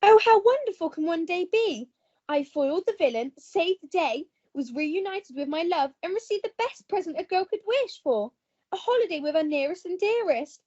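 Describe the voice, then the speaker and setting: A woman's voice: very high voice, expressive, moderate pace, a little mellow, highs cut off. A young woman playing a plucky, gushing, posh heroine with old-fashioned jolly phrasing in a melodramatic radio play. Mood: blissful.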